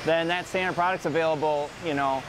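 American man explaining over loud factory noise. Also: a little high, steady tone, brisk. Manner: conversational, often checking with "right?". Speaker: company representative giving a factory tour. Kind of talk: casual, unscripted explanation. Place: large indoor factory floor. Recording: noisy factory floor with machinery hum.

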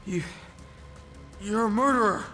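A man's voice: high voice, unhurried, long reverb tail, over music. A man playing an earnest young hero, shocked and indignant, in a video game cutscene.

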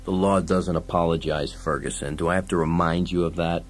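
A man voicing a stern, weary lawman in an audiobook, curt, gruff and deadpan, hard-boiled and unbending. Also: sits low, fairly quick. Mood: weary, dry. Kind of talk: dramatised audiobook science-fiction dialogue.

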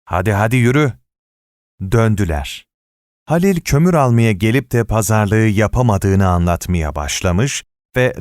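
A man reading a short story aloud as an audiobook narrator, in an expressive storytelling manner. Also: lowish voice, smooth, no room sound, clean studio sound. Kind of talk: scripted short story narration with acted dialogue.

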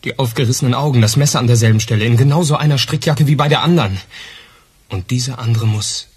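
An actor playing an eager, loyal police assistant in a crime radio play, arguing colloquially. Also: male voice, medium pitch, fast, breathy edge, no room sound. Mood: keen, agitated. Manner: colloquial, argumentative.

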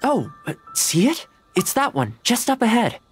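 A man's voice: very high-pitched, wildly swooping pitch, moderate pace, exaggerated voice. An actor playing a friendly, caring, patient young man in a video game, with a casual, coaxing manner. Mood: cautious. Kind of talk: video game character dialogue. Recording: music under the voice.

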